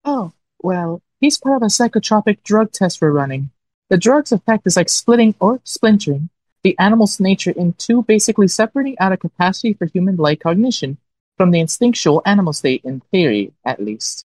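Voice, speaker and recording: A woman's voice: mid-range voice, lively intonation, brisk, darkish tone, no echo, natural voice, rough recording. A woman playing a chatty lab scientist who overshares in a comic dub. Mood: explaining. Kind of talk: amateur comic dub acting.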